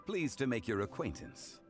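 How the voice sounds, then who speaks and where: A man playing a calm, erudite, ageless gentleman in a video game, speaking in a measured, courteous, formal way. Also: medium pitch, soft background music. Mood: reflective, wry. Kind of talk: video game character acting.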